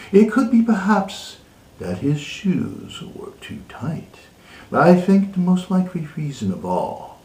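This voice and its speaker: A man reads a storybook with exaggerated drama. His fairly high voice swoops around and takes its time. The sound is roomy, a little distant from the microphone. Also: warm tone. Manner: theatrical, exaggerated emphasis. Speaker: co-host and story reader. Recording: roomy echo, distant mic.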